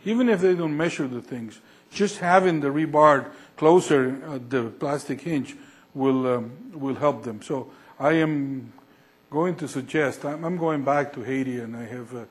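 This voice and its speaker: Halting middle-aged engineer from Peru. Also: male voice, mid-range voice. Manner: halting, many fillers and restarts. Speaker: structural engineer presenting. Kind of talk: Q&A after a lecture. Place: lecture hall with projection screen.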